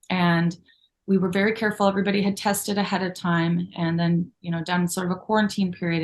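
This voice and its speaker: A middle-aged woman, co-founder of a magazine, talking in an interview with a grateful, storytelling manner full of asides. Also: lowish voice. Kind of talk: conversational interview.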